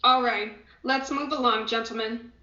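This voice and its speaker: A woman reading the part of a brisk, businesslike guard in a scripted play. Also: on the high side, fairly even intonation, bright, forceful tone. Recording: echoey room, dull lo-fi audio.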